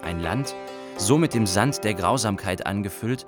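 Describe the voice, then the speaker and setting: A man's voice: on the low side, lively intonation, moderate pace, music in the background, close to an everyday voice. A man playing a young Iraqi refugee, narrating his flight in a literary radio play. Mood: narrative.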